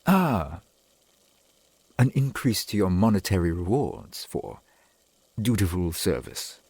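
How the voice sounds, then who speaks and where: A man acts out a centuries-old vampire boyfriend for an ASMR roleplay, doting and formal. His low voice is husky and breathy, with unhurried, lilting phrases that swing widely in pitch. He speaks with old-world courtesy. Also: big pitch swings, no room sound, full character voice. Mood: courteous, concerned. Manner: formal, archaic, gently attentive phrasing.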